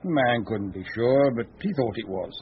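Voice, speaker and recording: Radio drama investigator: diligent, factual, methodical, mid-range voice on a dulled recording. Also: male voice, mid-range voice, animated, normal speed, slightly rough. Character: diligent, precise male investigator reporting to his chief. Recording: dull, muffled radio sound.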